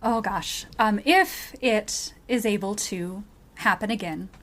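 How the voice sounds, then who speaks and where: A woman's voice: mid-range voice, slow pace, a bit bright. A woman speaking as a podcast guest, telling things in a casual, conversational way. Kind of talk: casual chat, recounting.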